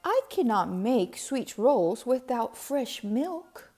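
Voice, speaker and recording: A woman's voice: fairly high pitch, very animated, takes it slowly, a little mellow, exaggerated voice. A humble storybook baker, acted out by a woman, anxious and hesitant. He pleads in a raised, faltering voice. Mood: worried. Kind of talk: storybook character voice.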